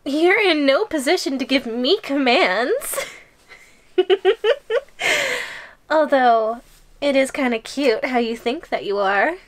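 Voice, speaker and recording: A woman's voice: sits high, lively intonation, slow pace, pauses often. A woman playing a playful, possessive giantess girlfriend in an audio roleplay, gleefully menacing, teasing and condescending. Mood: smug threatening. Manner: teasing, giggly, smug and condescending. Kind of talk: audio roleplay ASMR drama.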